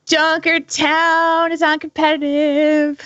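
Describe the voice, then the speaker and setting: Bright-voiced woman, dry and close. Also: high voice, little pitch movement, slow, no room sound, muffled recording. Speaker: podcast panelist. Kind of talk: casual enthusiastic chat.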